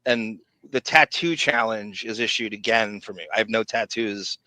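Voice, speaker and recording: A man co-hosting a podcast in casual banter, chatty and digressive, quick to laugh and swear. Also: fairly low pitch, pushed and bright, no echo, rough recording. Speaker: podcast co-host.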